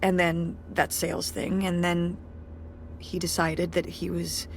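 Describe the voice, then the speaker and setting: A wry, tired middle-aged mother talks things through with dry, self-deprecating humor. Her voice sits a little low. A faint hiss runs under the game dialogue. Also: little pitch movement, measured pace. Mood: reflective. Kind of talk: naturalistic game voice acting.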